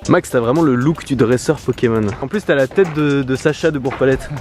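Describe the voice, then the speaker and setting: A man's voice: medium pitch, a little fast, darkish tone, faint music behind. A man with a Parisian French accent chatting casually as a vlog host, joking and tongue-in-cheek, recorded outdoors on a handheld camera. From France, Paris. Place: outdoors in a city park at night. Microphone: compact camera held by the speaker.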